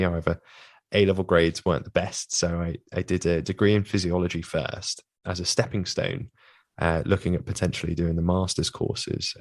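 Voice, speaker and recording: A young British man, a physiotherapist, answering interview questions in a measured way, with many fillers and restarts. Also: low voice, vocal fry, a little mellow, no echo. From United Kingdom. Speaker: guest, physiotherapist.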